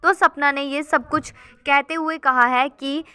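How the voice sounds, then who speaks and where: A woman's voice: sits high, fairly even intonation, measured pace, bright, forceful tone, no room sound, faint music behind. A woman hosting a gossip channel, chatting casually as she reads out viewer comments and keeps addressing her viewers as guys.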